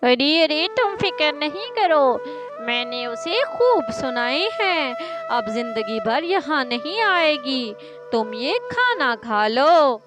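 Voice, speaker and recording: Brash, high-voiced mother crow, played by a woman. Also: big pitch swings, takes it slowly, full character voice. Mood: reassuring. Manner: scolding, dismissive. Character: brash defiant mother crow, fiercely defending her son. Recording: music behind the voice.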